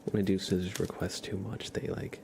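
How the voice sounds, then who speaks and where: A soft-spoken man hosting an ASMR livestream, gently chatting with viewers and often slipping into a whisper, close on the mic. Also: deep voice, level tone, a little fast, gravelly, noisy background. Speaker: livestream host. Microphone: close ASMR microphone.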